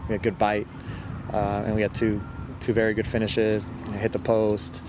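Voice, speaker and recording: A middle-aged man, a soccer coach, giving a post-match interview in a halting, measured way with repeated words, outdoors on a field. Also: fairly low pitch, completely flat delivery. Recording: outdoor field recording with loud ambient noise.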